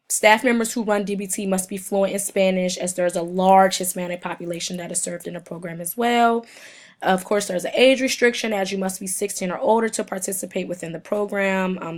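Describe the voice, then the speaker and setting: A young woman with a cold, hoarse and sniffling, giving a recorded class presentation from her notes, halting in places. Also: mid-range voice, fairly even intonation. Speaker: student presenter.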